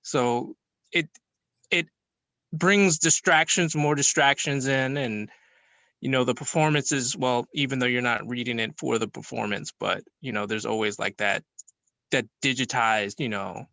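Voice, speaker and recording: A man's voice: medium pitch, measured pace, creaky, bright, forceful tone, no echo. A man giving his view in a casual group discussion among film students.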